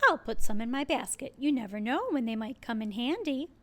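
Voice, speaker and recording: A woman's voice: a little high, lively intonation, brisk, bright, forceful tone. A woman doing a wide-eyed little girl.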